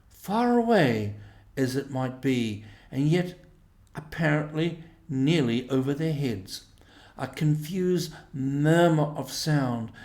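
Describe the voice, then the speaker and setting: A man narrating a storybook in a measured, expressive way. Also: fairly high pitch, echoey room. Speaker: audiobook reader.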